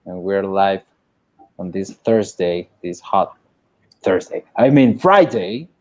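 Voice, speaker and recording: A man's voice: deep voice, lively intonation, slow, a little mellow. Rambling Mexican-accented man. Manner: rambling, self-deprecating, hesitant with fillers. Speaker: bass player. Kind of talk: casual interview chat.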